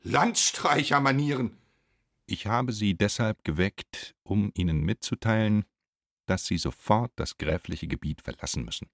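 A man's voice: lowish voice, lively intonation, unhurried, creaky, a bit bright, full character voice. Haughty, officious young man. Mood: indignant. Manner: haughty, reprimanding. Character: scornful, officious young man, son of the castle steward. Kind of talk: German radio drama acting.

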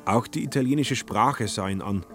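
A man's voice: on the low side, moderate pace. A man reading scripted literary narration as the narrator of a radio drama. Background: faint music.

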